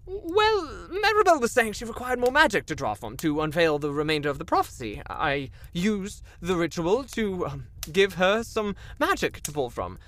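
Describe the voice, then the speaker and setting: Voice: mid-range voice, sing-song, normal speed, few pauses, a bit bright. A performer playing a nervous, fawning, bumbling warlock minion in a comedic fantasy audio drama, hesitant and obsequious.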